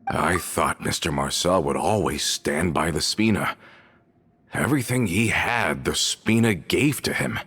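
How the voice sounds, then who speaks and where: A man's voice: deep voice, lively intonation, a little slow, a bit bright, husky, exaggerated voice. A man voicing a loyal crew member just back home, earnest and incredulous in how he talks. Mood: indignant. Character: man returning home to his old crew, loyal and shaken. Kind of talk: video game voice acting. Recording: game audio with music.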